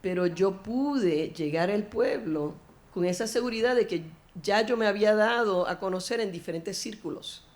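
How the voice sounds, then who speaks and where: A middle-aged woman with a Puerto Rican Spanish accent speaks on a forum panel, recounting her path in an earnest, reflective tone. Her pitch sits in an ordinary middle range, and her phrasing often restarts mid-sentence. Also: normal speed. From Puerto Rico. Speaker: panel speaker, former elected official. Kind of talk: personal speech at a panel forum.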